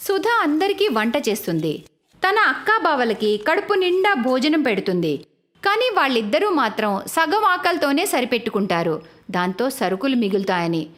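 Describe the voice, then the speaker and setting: A woman's voice: high-pitched, sing-song, moderate pace, a bit bright. A woman reading scripted narration for a moral story as its narrator.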